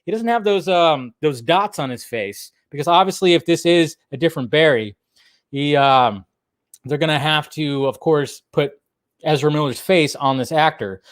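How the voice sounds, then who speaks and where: Male stream host, voice a bit above average in pitch, loose conversational delivery, repeats himself, dry sound. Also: normal speed, no room sound. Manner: repeats phrases, talking to viewers. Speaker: host of a live pop-culture talk stream. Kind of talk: casual rambling livestream chat.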